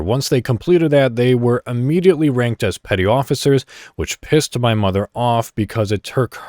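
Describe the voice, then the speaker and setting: A man speaks as the host of a solo podcast in a fairly low voice. He addresses listeners casually, moving through deliberate, segmented phrases. The sound is very dry, with the microphone close to him. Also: no echo.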